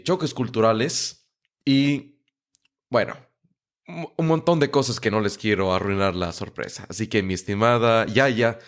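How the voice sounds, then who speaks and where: A man hosting a podcast and interviewing a guest, friendly and stumbling over words now and then. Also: fairly low pitch. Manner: friendly, repeats words and restarts often. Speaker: podcast host.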